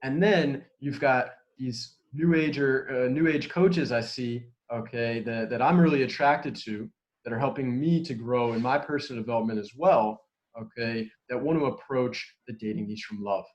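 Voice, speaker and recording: Guy hosting a podcast, normal pitch, chill chatty delivery, keeps saying okay. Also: moderate pace, lo-fi sound. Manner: conversational, peppers speech with "okay". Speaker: podcast host. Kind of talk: casual podcast conversation.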